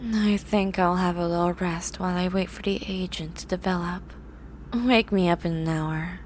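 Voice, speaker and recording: A woman's voice: fairly low pitch, steady tone, normal speed, a little hiss or hum, close to an everyday voice. A woman voicing a grumpy, obsessive young horse-girl scientist in an ASMR roleplay, talking in a precise, scholarly, bossy way. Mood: tired, softening.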